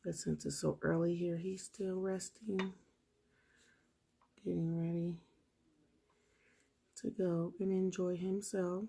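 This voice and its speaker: A woman whose voice is going hoarse talks her viewers through a nail tutorial in a casual, chatty way. Her voice sits low, with gravel and a husky, breathy edge. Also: level tone, slow, pauses often, dark tone. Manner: chatty, talks straight to viewers while working. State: losing her voice. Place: home table, indoors.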